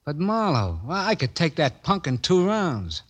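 An American actor playing a troubled, impulsive champion boxer in a 1950s radio drama, emotional in delivery. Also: male voice, on the high side, very animated, unhurried, breathy edge, muffled recording, exaggerated voice. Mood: wary, bitter. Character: hot-headed, troubled champion prizefighter, a man. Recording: vintage radio recording with music.

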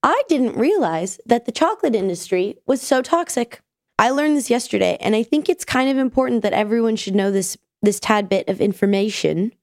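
A woman's voice: a little high, lively intonation, brisk, a little mellow, no room sound, studio quality. A woman co-hosting a casual podcast, chatty and sick with a nasal voice. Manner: chatty, tells stories with acted quotes. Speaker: podcast co-host.

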